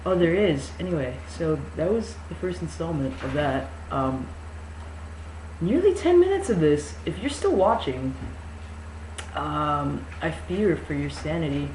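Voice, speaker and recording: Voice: mid-range voice, animated, measured pace, a little mellow. An amateur reader giving a mock-dramatic novel reading, breaking off into sarcastic, incredulous, sweary asides. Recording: echoey room with faint noise.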